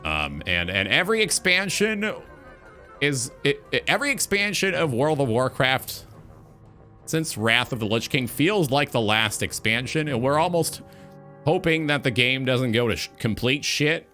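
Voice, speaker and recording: A man's voice: mid-range voice, very animated, moderate pace, bright, forceful tone, faint music behind. A man hosting a gaming talk show, delivering an opinionated monologue in an emphatic, blunt, sweary way.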